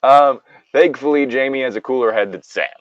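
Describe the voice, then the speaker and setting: A man's voice: medium pitch, a little fast, no room sound, dull audio. A man reading a story aloud and breaking off into casual, joking, rambling, profane commentary. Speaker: reader and commentator of online stories.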